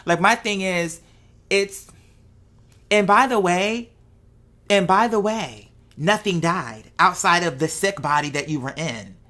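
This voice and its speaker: A woman hosting a show, talking casually and unscripted to her followers, musing out loud with rhetorical questions and checking in with the listener. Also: on the low side, slow. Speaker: host of a live show.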